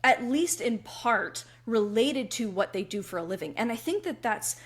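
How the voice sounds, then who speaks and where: A woman's voice: a little high, animated, moderate pace. A woman podcast co-host with a slightly froggy voice, explaining writing craft conversationally and checking in as she goes.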